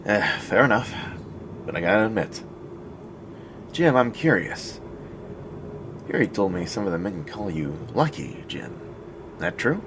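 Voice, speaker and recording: A man's voice: on the low side, big pitch swings, unhurried, pauses often. A man playing an earnest young army captain in an audio drama, casual and good-natured, with noisy ambience. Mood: friendly, curious.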